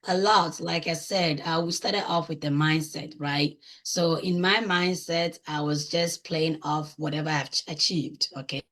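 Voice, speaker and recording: A woman with a Ghanaian accent and a husky voice, talking conversationally as an interview guest and often checking in with little tag questions. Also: low voice, fairly even intonation, unhurried, hardly any pauses, a bit bright. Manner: frequently tags phrases with "right?". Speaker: guest, coaching client and business owner.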